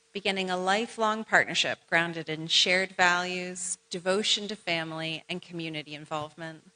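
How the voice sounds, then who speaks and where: A woman with a bright, ringing voice reads biographies aloud at a ceremony. Her delivery is measured and formal, paced for an audience, with a warm nod to each honoree between passages. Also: medium pitch, low-quality recording. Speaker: event presenter.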